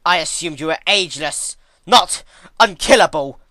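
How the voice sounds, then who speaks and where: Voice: mid-range voice, animated, moderate pace, bright, forceful tone, pitched above the performer's own voice. A performer voicing a furious young female pony tyrant in emphatic, accusing outbursts for a dramatic story reading. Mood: shocked, angry.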